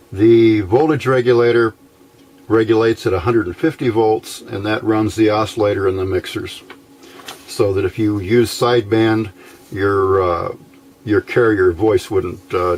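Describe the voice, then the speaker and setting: A man giving a casual, unscripted technical explanation as a hobbyist presenter, haltingly, thinking aloud as he goes. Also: on the low side. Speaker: amateur radio hobbyist presenting a how-to video.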